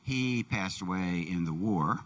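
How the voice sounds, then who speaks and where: A man's voice: deep voice, measured pace, far from the mic. A man interpreting for a deaf guest, voicing his answers in plain, brief sentences. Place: church sanctuary.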